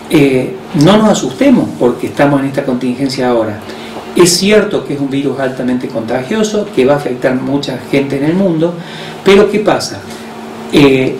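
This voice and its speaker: A man with an Argentine accent, a hospital director who explains health measures on the radio in a measured way, with frequent hesitations. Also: mid-range voice, a little mellow. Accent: Argentine Spanish. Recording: roomy distant mic with faint noise.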